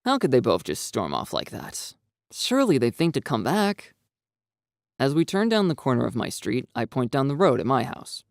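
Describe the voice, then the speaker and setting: A man narrating a first-person visual novel with expressive, lively intonation. His voice has a gravelly edge, recorded close and dry. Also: mid-range voice, moderate pace, no room sound. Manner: expressive, storytelling delivery.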